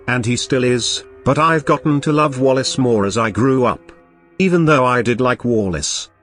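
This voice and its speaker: A young man talks through a retro game review in a casual, upbeat voice of middling pitch. He mixes enthusiasm with dry joking asides. Soft game music plays underneath. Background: game music playing.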